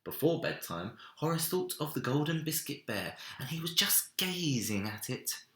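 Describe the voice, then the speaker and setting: A man reading a children's story aloud in an expressive narrating style, recorded in an echoey room. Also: on the high side, normal speed. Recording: roomy, echoey indoor sound.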